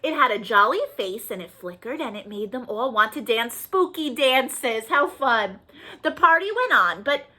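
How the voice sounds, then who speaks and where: A woman leading a children's storytime, reading aloud in an animated, sing-song way and checking in with young listeners through questions. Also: on the high side, moderate pace. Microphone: video call.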